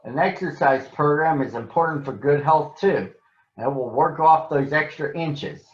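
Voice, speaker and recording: A man voicing a patient female grizzly bear doctor from a storybook, explaining things in a deliberate, encouraging way. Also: on the high side, lightly acted. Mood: firm, encouraging. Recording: echoey room, slightly dull sound.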